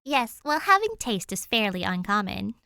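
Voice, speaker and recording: A woman plays a young girl in a storybook, bright-spirited, cheeky and earnest. She chats with open enthusiasm, a little raised in pitch, in a dry recording. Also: sing-song, normal speed, gravelly, no echo, full character voice. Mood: dryly cheeky.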